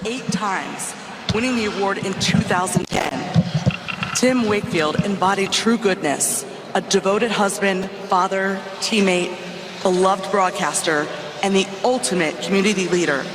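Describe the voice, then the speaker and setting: A ballpark public address announcer speaking slowly and solemnly over the stadium speakers. The voice trails off in long reverb, with clear crowd murmur around it. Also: mid-range voice, background noise. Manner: slow, measured and solemn. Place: baseball stadium. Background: crowd ambience.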